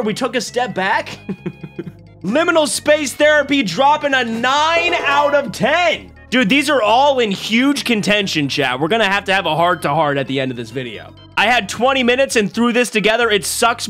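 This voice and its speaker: A young man in his twenties hosting a stream, reacting to clips with excited, laughing commentary from a small home room. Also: extremely high pitch, moderate pace, soft background music. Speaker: streamer host. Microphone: boom mic on arm.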